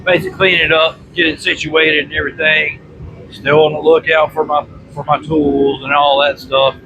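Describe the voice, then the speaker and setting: A man's voice: fairly high pitch, moderate pace, highs cut off. A congested man with a chesty voice, chatting casually and rambling in a folksy, colloquial way as he hosts a vlog. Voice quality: congested, chesty with frequent throat clearing. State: congested from bronchitis. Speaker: vlog host. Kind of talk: casual rambling chat to camera. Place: workshop. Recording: steady background noise from running heater. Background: heater noise.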